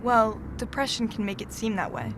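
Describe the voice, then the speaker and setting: Woman acting a measured therapist over noisy background. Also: on the high side, very animated. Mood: guarded, professional. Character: composed middle-aged female therapist, firm and earnest. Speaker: therapist in an audio drama. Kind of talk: student audio drama acting.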